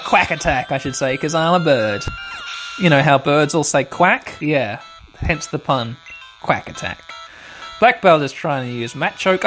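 Male gamer host, thirties, higher-pitched and slightly hoarse, casual mock-dramatic commentary with rambling asides, music underneath. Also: fairly bright tone. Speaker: let's play host. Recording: music playing behind the voice.

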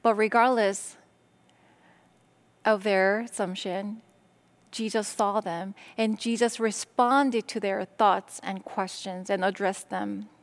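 Slow-speaking woman pastor from Korea. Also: medium pitch, steady tone. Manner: slow, deliberate preaching with pauses between phrases. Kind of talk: sermon.

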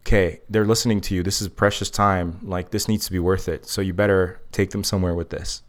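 A man's voice: sits low, steady tone, brisk. A man chatting casually and comedically as a podcast host, in a rambling, self-deprecating way.

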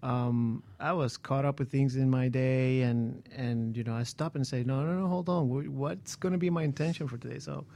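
Man, middle-pitched voice, talk-radio co-host, halting delivery with lots of "um" and restarts. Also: creaky, dark tone, no room sound. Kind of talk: motivational talk show chat.

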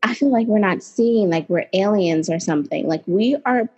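A woman's voice: mid-range voice, normal speed, warm tone, slightly rough. A woman in her late twenties, a writer and editor, talking earnestly and reflectively as an interview guest, with fillers. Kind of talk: casual interview talk. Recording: dull lo-fi audio.

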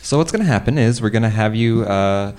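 Casting: male improviser as awkward lab researcher, low voice, halting, politely condescending bureaucrat for improvised radio comedy. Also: animated, a little mellow, close to an everyday voice.

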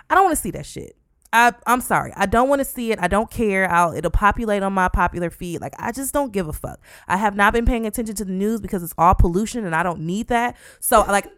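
A woman's voice: medium pitch, a bit bright, clean tone, dry recording, clean studio sound. A woman in her late twenties co-hosting a podcast, talking casually and bluntly with frequent swearing. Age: 20s. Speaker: podcast co-host. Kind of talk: casual chat.

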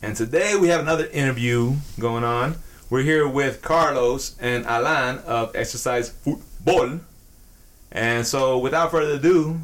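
A man co-hosting a podcast in a casual, informal and jokey way. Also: mid-range voice. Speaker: podcast co-host.

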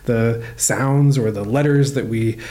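A male professor answers questions at a steady, everyday pitch. He explains carefully, pausing with "um" and "uh" as he thinks aloud. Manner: thoughtful, many "um" and "uh" while explaining. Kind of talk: relaxed explanatory interview answers.

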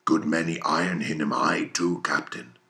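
A foreign harpooneer from a whaling novel, acted by a male narrator. He is earnest and deep-voiced, stumbling through disjointed phrases as he hunts for the right word. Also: fairly even intonation, normal speed, creaky, sharp tone, full character voice. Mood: groping, excited. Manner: disjointed, faltering for words.

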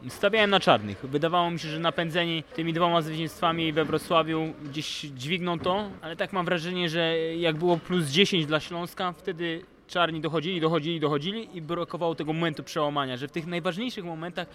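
Male podcast pundit, fairly high voice, wordy casual analysis, slight ambient noise. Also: fairly even intonation, a little fast, hardly any pauses, fairly bright tone. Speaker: podcast co-host and sports analyst. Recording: clearly noisy background ambience.

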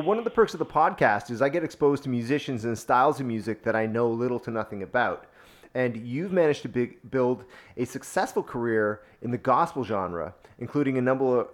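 A man in his late forties with a Canadian accent, interviewing a guest casually as a podcast host. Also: medium pitch. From Toronto, Canada.

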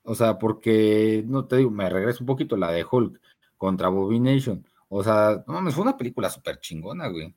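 A man's voice: fairly low pitch, animated, a little fast, a little mellow. A young man with a Mexican Spanish accent talking about films in casual podcast chat, slangy and meandering with hesitant fillers. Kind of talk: casual chat, film discussion.

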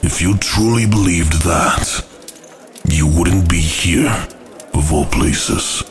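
A man's voice: sits low, slow pace, music in the background. A man playing a scheming rebel angel in an audio drama, grave and persuasive, with solemn, formal diction. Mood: firm, urging.

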